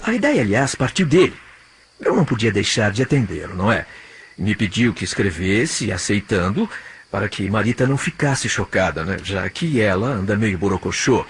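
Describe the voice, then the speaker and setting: An actor playing a flippant, callous young boyfriend on the telephone in an audio drama, with a Brazilian accent and breezy, dismissive colloquial talk. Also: male voice, on the low side, expressive, moderate pace, noisy background, lightly acted. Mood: flippant, scornful. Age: young adult.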